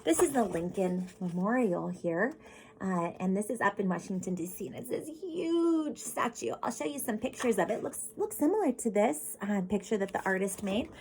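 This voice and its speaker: A woman's voice: mid-range voice, lively intonation, normal speed, a little mellow, a little hiss or hum. An American woman reads a picture book aloud as a teacher, explaining simply and putting questions directly to children. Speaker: teacher reading to pupils.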